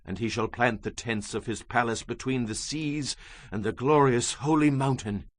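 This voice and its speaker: A man's voice: mid-range voice, normal speed, no room sound, natural voice. A man performing a solemn prophet recounting apocalyptic visions in a theatrical, emphatic scripture reading. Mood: solemn, foreboding. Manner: solemn, emphatic, theatrical delivery with dramatic swells and drops. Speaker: dramatized audiobook Bible reader.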